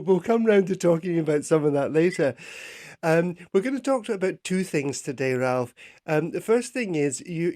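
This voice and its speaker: A middle-aged man hosting a radio show, his voice sitting slightly higher than most. He stumbles and restarts often, repeating words as he goes. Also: warm tone. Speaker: radio host. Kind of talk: radio interview.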